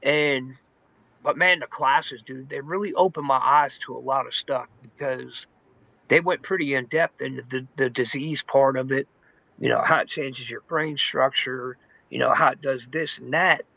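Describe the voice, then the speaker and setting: A man's voice: mid-range voice, fairly even intonation, moderate pace, creaky, bright, forceful tone, dry recording, low-quality recording. A man giving a personal testimony in an informal, candid, conversational storytelling way, saying "man", "dude" and "you know" often. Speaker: testimony guest in recovery.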